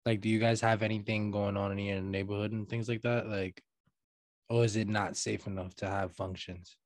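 A man interviewing over a video call for an oral history project, asking loose, halting questions with fillers. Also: on the low side, little pitch movement, no echo.

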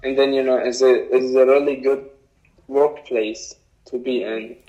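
Young guy w arabic accent, halting answers, kinda dull lo-fi sound. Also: medium pitch, steady tone, warm tone. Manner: halting, with fillers and repeats. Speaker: interviewee. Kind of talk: casual interview answers. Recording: dull lo-fi recording.

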